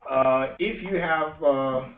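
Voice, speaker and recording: A man speaking informally as a meetup host to a live audience, joking and halting as he goes. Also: fairly high pitch, far from the mic. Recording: echoey room, tinny band-limited sound, faint noise.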